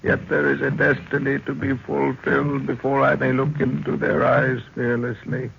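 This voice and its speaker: An actor playing a dying, wise old African village elder, speaking solemnly and archaically in an old-time radio drama. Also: male voice, medium pitch, monotone, vocal fry. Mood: grave, foreboding. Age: older. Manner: solemn, slow, archaic storytelling. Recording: dull, noisy vintage radio recording.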